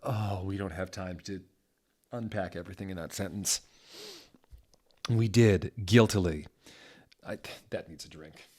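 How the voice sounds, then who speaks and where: A man giving a mock-serious dramatic reading aloud, deliberate and theatrical, with literal attention to every odd word. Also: sits low, darkish tone. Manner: deliberate, theatrical, reads typos and punctuation out literally. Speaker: dramatic reader of fan fiction.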